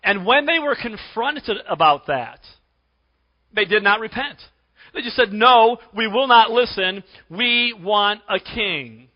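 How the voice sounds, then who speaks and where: A pastor preaching a sermon to his congregation while losing his voice, often clearing his throat. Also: sits high, expressive, slow. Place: church sanctuary. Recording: dull recording in a reverberant church.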